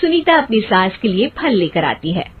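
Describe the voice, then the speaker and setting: Animated, husky woman narrator. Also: mid-range voice, normal speed. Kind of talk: scripted children's cartoon story narration. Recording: muffled, narrow phone-like sound.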